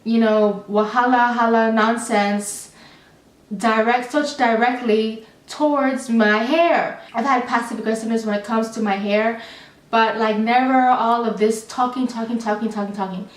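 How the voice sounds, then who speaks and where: A young adult woman telling a storytime vlog in a chatty, animated, rambling way with many fillers. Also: a little high, measured pace. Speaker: vlogger. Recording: roomy, slightly distant mic.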